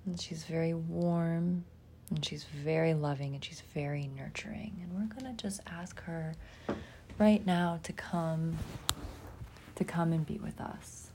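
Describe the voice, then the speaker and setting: A woman leading a guided meditation in a soft, soothing manner, pausing often and trailing into breathiness. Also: low-pitched, steady tone, a little hiss or hum.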